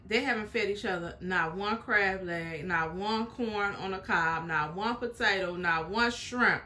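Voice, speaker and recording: A woman's voice: a little high, bright, forceful tone, a little hiss or hum. A young woman hosting a reaction video, chatting frankly and opinionated to her viewers, with in her speech. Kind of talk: casual reaction commentary.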